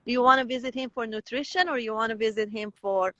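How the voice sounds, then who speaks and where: Persian-accented woman, bright and halting. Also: fairly high pitch, dry recording, muffled recording. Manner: halting, many restarts and fillers. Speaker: interview guest. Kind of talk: conversational interview.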